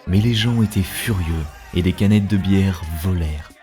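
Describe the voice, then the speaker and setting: Low-voiced man narrating over music. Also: normal speed. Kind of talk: scripted cartoon story narration. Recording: music behind the voice. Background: background music.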